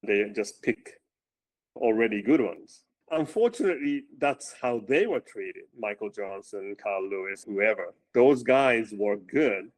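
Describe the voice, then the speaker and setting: A man with a loud voice and a somewhat low pitch talks about coaching in a relaxed interview. He often restarts his sentences, repeating the first words before he gets going. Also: low-quality recording. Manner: halting, repeats words when starting sentences. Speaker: running coach. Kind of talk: casual interview conversation.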